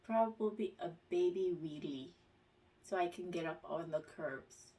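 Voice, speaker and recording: A woman talks through a casual question-and-answer vlog in a somewhat low voice. She reads each question aloud and answers slowly, with halting pauses and fillers. Her voice sits a little back from the microphone. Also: mellow, distant mic. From United States. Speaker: vlogger.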